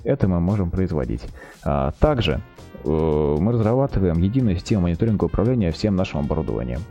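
A man's voice: deep voice, very animated, fast, warm tone, muffled recording, soft background music. A man speaking as a company representative, presenting and answering questions informally in businesslike, sales-like phrasing. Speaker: company representative, project manager, interview guest.

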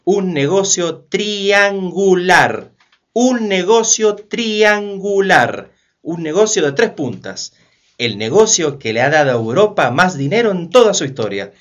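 A man's voice: high-pitched, sing-song, a little slow, highs cut off. A man delivering scripted, theatrical narration for a radio drama.

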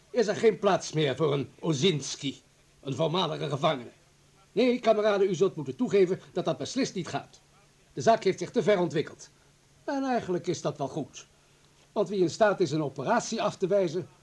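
A hard, anxious party comrade in a radio drama. His voice sits high and husky, and he argues in formal, emphatic phrases, insistent and self-justifying. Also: animated, brisk, darkish tone, full character voice. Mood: stern, insistent. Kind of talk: radio play acting.

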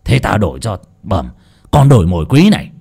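A gruff, blustering old village rich man, as a male narrator plays him. He sounds pompous and easily riled, his lively voice lurching from low grumbles to bursts of indignation. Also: fairly quick, exaggerated voice.

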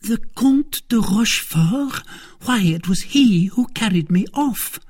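A man voicing an indignant, sharp-tongued young wife in a period novel, scolding and haughty in an exclamatory way. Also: high-pitched, moderate pace, slightly rough, pitched above the performer's own voice.

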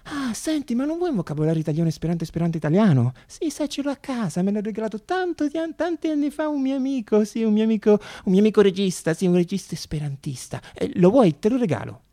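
A woman's voice: medium pitch, animated, fast, hardly any pauses, warm tone, no room sound, studio quality, pitched above the performer's own voice, lightly acted. A man voicing a chatty, eager actress acquaintance who gushes and repeats herself in a stage monologue. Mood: eager, gushing. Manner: gushing, repeating herself, stumbling over words.

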